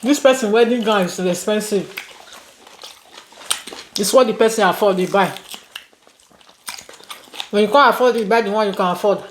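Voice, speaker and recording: A woman's voice: mid-range voice, normal speed, long pauses. A woman with a Nigerian accent hosting a mukbang vlog, chatting casually as she eats. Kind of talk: casual chat while eating. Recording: roomy echo, faint noise, dish clinks. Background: clicks, cutlery and dishes.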